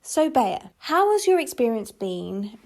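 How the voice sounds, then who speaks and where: A woman hosting a casual panel, asking guests thoughtful, halting questions. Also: on the high side, very animated, very noisy. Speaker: host. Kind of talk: interviewing guests.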